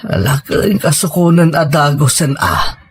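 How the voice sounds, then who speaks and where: A man playing an ailing, stroke-weakened husband and father in an Ilocano audio drama, plaintive and halting, with a Filipino accent. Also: on the high side, sing-song, breathy edge, full character voice. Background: music.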